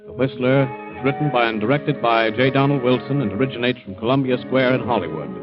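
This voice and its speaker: Old time radio announcer guy, formal n measured, muffled sound w/ loud music behind. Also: mid-range voice. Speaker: network announcer.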